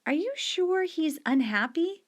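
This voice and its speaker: A woman's voice: extremely high pitch, lively intonation, measured pace, pitched above the performer's own voice. A woman reading aloud and voicing a curious young boy who keeps asking questions in a story. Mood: questioning. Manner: persistent, questioning.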